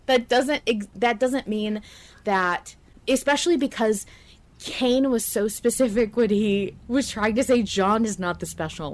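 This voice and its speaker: A woman with a medium-pitched voice talks as a podcast host in a loose fan discussion. She is animated and emphatic, circling back over her own phrases to drive them home. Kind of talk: casual fan discussion of an audio drama.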